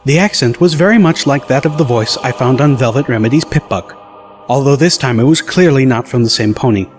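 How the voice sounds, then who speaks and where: A man's voice: mid-range voice, brisk. A man reading scripted first-person story narration as an audiobook narrator. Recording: loud music bed under narration. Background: music.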